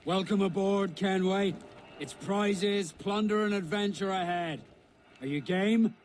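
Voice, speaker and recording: High-pitched, emphatic pirate. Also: male voice, measured pace, a little hiss or hum. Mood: rousing. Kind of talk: dramatic game cutscene acting.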